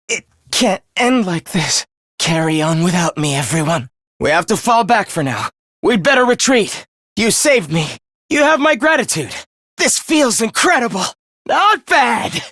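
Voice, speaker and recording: An actor playing a polite, earnest young male fighter in a video game, with a youthful, slightly husky voice and formal phrasing. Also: very high-pitched, a bit bright, no echo, studio quality, exaggerated voice. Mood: strained, dejected, then composed, grateful. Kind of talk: video game battle lines.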